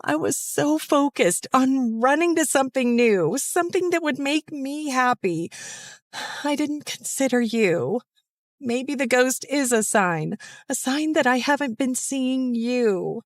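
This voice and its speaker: A woman playing a remorseful, tender mother in an animated story, speaking earnestly. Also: high voice, unhurried, dry recording, studio quality. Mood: regretful, tender.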